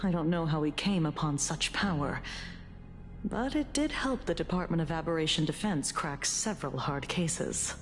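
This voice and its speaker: A woman voicing a composed, reflective investigator in a video game, with measured, pensive delivery. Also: low voice, animated, soft background music. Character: composed, reflective female investigator writing case reports. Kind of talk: dramatic video game voice acting.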